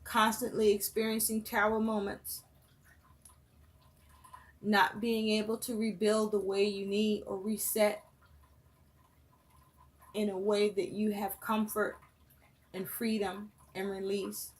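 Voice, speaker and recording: A woman giving a tarot reading for her viewers, listing phrase after phrase in a slow, measured way. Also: mid-range voice, level tone. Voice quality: voice rough and breaking, coughing. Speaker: tarot reader.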